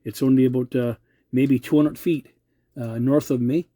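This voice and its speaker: A middle-aged or older man with a very warm, mellow voice recorded close and dry. He talks casually as a radio hobbyist, polite and measured. He often hesitates and doubles back on his words. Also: medium pitch, steady tone, no echo. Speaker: amateur radio operator hosting a video channel. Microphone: cell phone filming the radio.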